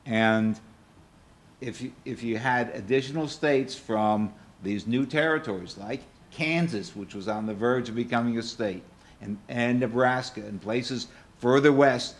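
Older male panelist, medium pitch, unhurried and halting, explains methodically. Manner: hesitant, explanatory, point by point. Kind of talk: extemporaneous panel discussion. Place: auditorium panel table.

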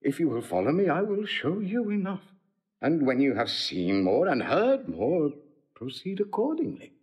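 An actor playing a cold, scheming nobleman, measured and insinuating, in classical Shakespearean style. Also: a little high, wildly swooping pitch, darkish tone, breathy edge, full character voice. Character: cold scheming nobleman villain, slandering brother. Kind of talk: classical Shakespearean audio drama acting.

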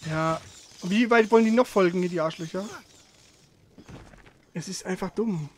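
A man's voice: high voice, moderate pace, slight background noise. A man commenting casually on a video game as he plays, grumbling and swearing in frustration.

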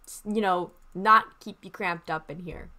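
A woman's voice: fairly low pitch, a little slow, sharp tone. A woman playing a patient but exasperated medic in an improvised zombie roleplay game, talking hesitantly and placatingly. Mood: matter-of-fact.

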